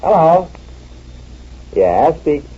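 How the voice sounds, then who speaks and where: An actor playing a sly, wry husband who tells stories and takes a phone call, in 1940s radio acting style. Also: male voice, fairly high pitch, big pitch swings, extremely slow, breathy edge, exaggerated voice. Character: dry, wry scheming husband. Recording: dull lo-fi old radio recording.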